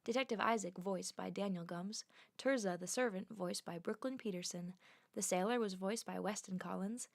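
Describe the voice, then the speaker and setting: A woman's voice: mid-range voice, moderate pace, gravelly, no room sound, clean studio sound. A woman reading out scripted credits as the announcer of an audio production.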